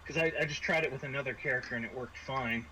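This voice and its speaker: A man's voice: medium pitch, fast talker. A man acting as game master of a tabletop role-playing game, explaining rules and narrating the players' actions. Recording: faint background noise.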